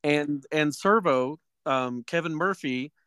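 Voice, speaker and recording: Male podcast host, casual and conversational, smooth slightly high voice, close dry sound. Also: slow pace, fairly bright tone, dry recording. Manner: acts out mocking quotes while recounting. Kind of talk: casual chat about films and TV.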